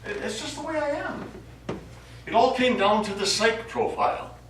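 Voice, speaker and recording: A man on stage playing a quiet, guarded astronaut who explains himself earnestly and a little defensively to his crew. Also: very high voice, lively intonation, normal speed. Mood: defensive, insistent. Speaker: stage actor in a short play. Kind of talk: live stage play dialogue. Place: small theater studio, recorded from audience distance. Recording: echoey hall, distant mic, faint noise.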